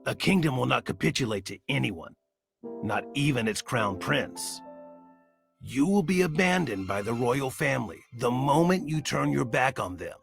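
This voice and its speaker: An actor playing a stern, exasperated old teacher in a video game, gravelly and scolding, with music behind. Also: male voice, mid-range voice, lively intonation, normal speed. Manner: scolding, authoritative. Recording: music under the voice.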